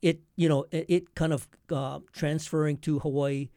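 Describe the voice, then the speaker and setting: A man hosting a conversational radio interview, speaking in a halting way as he searches for his words. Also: on the high side, steady tone, warm tone, no room sound, studio quality. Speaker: radio show host.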